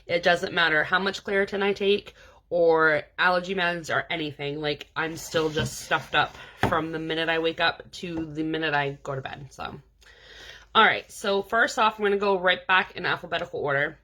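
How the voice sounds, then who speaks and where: A woman's voice: low voice, steady tone, moderate pace, bright, forceful tone. A woman from New England giving a casual, chatty product review as a scent consultant, sounding stuffed up from allergies and filling her talk with "um" and "like". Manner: chatty, many "um" and "like" fillers.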